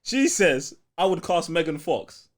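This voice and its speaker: A man's voice: fairly high pitch, sing-song, a little slow. A man with a London accent co-hosting a casual live podcast, bantering in slang and reading out viewer messages. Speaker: podcast co-host reading out super chats.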